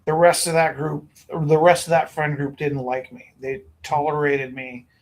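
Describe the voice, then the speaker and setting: High-voiced man telling stories. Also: moderate pace, low-quality recording. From Alberta, Canada. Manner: rambling, animated anecdotes with acted quotes. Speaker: art gallery owner and teacher. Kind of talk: casual storytelling chat.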